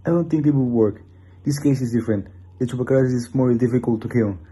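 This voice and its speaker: A wary monster hunter, acted by a man in a radio play. His voice is very dark and husky, at a middling pitch. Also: fast talker, natural voice. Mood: grave. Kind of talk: amateur radio drama acting.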